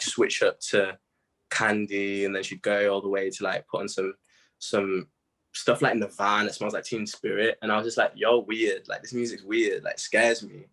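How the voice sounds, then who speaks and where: A young man chatting casually in an interview, telling stories in an animated, slangy way with plenty of "bro" and "man". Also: fairly low pitch, poor audio. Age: young adult. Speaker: music artist being interviewed. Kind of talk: casual chat.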